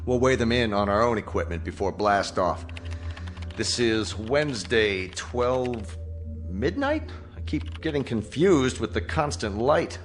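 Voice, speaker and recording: An actor playing a reasonable military colonel in a radio drama, polite and probing in a measured way. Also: male voice, medium pitch, expressive, faint music behind, lightly acted. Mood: courteous. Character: courteous, reasonable military colonel commanding a rescue spaceship. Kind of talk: radio drama acting, conversational.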